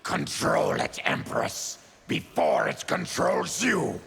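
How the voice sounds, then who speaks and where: A man voicing a feral, diseased monster warrior in a video game, with a gravelly rasp and a grave, menacing, formal manner. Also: mid-range voice, huge pitch swings, slow, background noise, full character voice. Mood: grim. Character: feral, diseased monster warrior, a proud brooding former tribune. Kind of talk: video game character acting.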